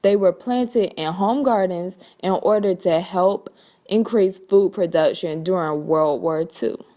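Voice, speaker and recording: A woman's voice: on the low side, a little slow, warm tone. A woman reading scripted documentary narration.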